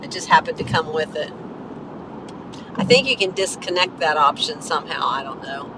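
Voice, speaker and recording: A hoarse middle-aged woman chatting casually with her viewers during a live stream while driving. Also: low voice, animated, normal speed. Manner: chatty, coughing between remarks. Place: inside a moving car. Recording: road noise from a moving car.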